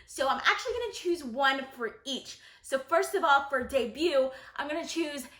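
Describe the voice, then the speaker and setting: A woman with a high-pitched voice talks casually to her viewers in a roomy, echoey space. She reviews books in a gushing, enthusiastic way and puts strong emphasis on her opinions. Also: lively intonation, normal speed, pushed and bright. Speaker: book reviewer, video host. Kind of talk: casual book-tag vlog talk to viewers. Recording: roomy echo.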